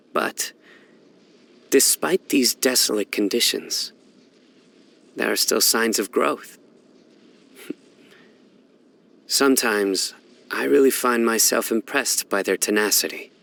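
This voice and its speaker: An actor playing a solemn, stoic young desert enforcer of justice in a video game, speaking in a measured, deadpan way. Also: male voice, fairly low pitch. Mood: thoughtful. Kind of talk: scripted game character voice lines.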